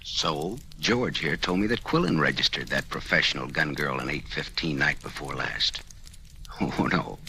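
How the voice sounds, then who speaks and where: An actor playing a sardonic, slangy, tough private detective in an old-time radio drama. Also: male voice, extremely low pitch, normal speed, sharp tone, heavily acted. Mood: accusing, sardonic. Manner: sardonic, slangy, hard-boiled delivery. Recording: noisy vintage radio recording.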